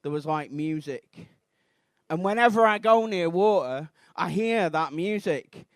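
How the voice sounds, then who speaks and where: A Mancunian man in his thirties doing a rambling, self-deprecating stand-up routine on a comedy club stage, bursting into shouted outrage. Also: a little high, animated, unhurried, dry recording, studio quality. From Manchester, England.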